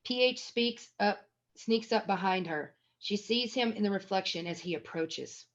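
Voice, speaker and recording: A woman's voice: mid-range voice, moderate pace. A woman narrating a screenplay at a table read, reading scene headings and stage action as scripted narration. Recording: dull, lo-fi sound.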